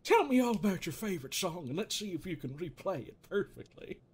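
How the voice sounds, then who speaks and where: A man playing a quirky, needling therapist in an improvised comedic game dub, speaking theatrically with halting pauses and self-amused chuckles. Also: high voice, very animated, husky, heavily acted. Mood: needling, wry.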